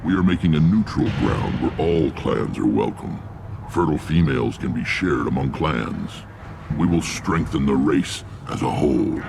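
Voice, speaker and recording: A massive alien warlord from a video game, with an extremely deep, heavily creaking voice. He speaks bluntly and with weathered authority, as a leader of clans, over clear background rumble. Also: animated, moderate pace, heavily acted. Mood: firm, proud. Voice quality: gravelly, rumbling. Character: huge gruff alien warlord clan leader, commanding, weathered.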